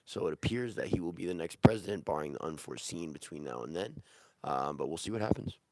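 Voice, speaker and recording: Man, very deep voice, gravelly, sick and hoarse, rambling answers, dry close sound. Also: animated, fast, a little mellow, no echo. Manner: rambling, many restarts and fillers. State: sick, losing his voice. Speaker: real estate agent answering viewer questions. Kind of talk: casual Q&A livestream.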